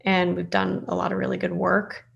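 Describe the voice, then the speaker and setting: A woman chairing a meeting on a video call, speaking informally with frequent pauses mid-sentence. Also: on the low side, completely flat delivery, creaky, dull audio. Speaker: planning board chair. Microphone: video call microphone.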